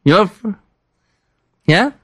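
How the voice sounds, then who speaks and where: A man's voice: extremely slow, no echo, studio quality. A French man hosting a radio show, joking around and playing a prank call in broken English. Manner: hams it up in broken English, laughing often. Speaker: radio host.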